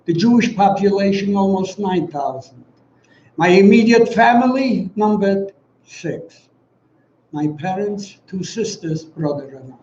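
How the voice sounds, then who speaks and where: An elderly man with a Polish accent delivers a solemn public testimony in a high-pitched, soft-toned voice. He speaks formally and deliberately, pausing for emphasis. The sound is distant and very echoey, as if in a large room. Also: lively intonation. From Poland. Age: around 90. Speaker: guest speaker, Holocaust survivor giving testimony. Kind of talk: prepared commemorative speech. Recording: echoey, dull, distant, faint noise.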